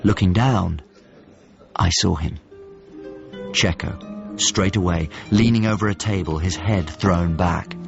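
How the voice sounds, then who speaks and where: An actor playing a thoughtful painter's model, narrating a radio drama in measured, descriptive phrases. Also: male voice, low voice, gravelly, heavily acted. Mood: reflective. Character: thoughtful male painter's model grieving a lost friend. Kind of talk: radio drama, reflective first-person narration. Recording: muffled audio with music underneath. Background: music.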